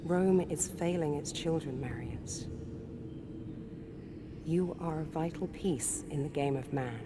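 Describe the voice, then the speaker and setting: A woman playing a knowing female spirit guide in a video game, speaking in a solemn, deliberate, portentous way. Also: low voice. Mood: solemn, urging. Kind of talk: dramatic video game cutscene monologue. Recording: clear background ambience noise.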